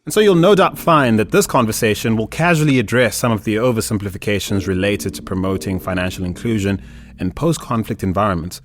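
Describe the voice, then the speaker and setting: Man, podcast host, slightly deep voice, scripted intros and conversational questions.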